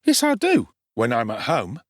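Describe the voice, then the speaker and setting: A man's voice: mid-range voice, wildly swooping pitch, moderate pace, no room sound, clean studio sound. A man voicing a cocky, streetwise teenage London boy with a Cockney accent and slangy swagger in an audiobook. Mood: brisk, probing. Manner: swaggering, slangy, self-assured. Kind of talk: dramatic audiobook character acting.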